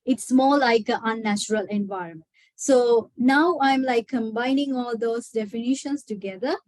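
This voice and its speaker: A woman's voice: on the high side, moderate pace, a little mellow, no room sound. A young woman from Sri Lanka, a PhD student, giving a webinar talk with frequent hesitations and fillers. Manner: hesitant, many filler sounds. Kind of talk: webinar presentation.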